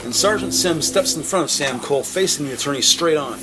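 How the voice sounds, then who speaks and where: A man narrating a crime audio drama with scripted, descriptive storytelling in a deliberate, literary manner. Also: fairly high pitch, animated, background noise.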